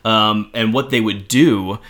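A man co-hosting a podcast in a rambling, joking casual chat, with a slightly raspy voice. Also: lowish voice, a little slow, a bit bright. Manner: rambling, joking, self-deprecating. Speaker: podcast co-host.

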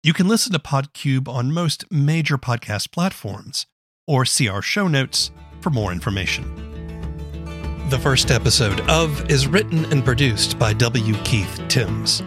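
A man hosting a podcast, reading scripted narration in a measured, presentational way. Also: medium pitch, animated. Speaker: podcast host. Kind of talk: scripted narration and interview questions. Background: guitar music.

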